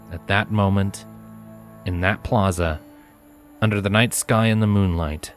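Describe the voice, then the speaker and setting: A man reading fiction aloud as an expressive narrator of a scripted story. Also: sits low.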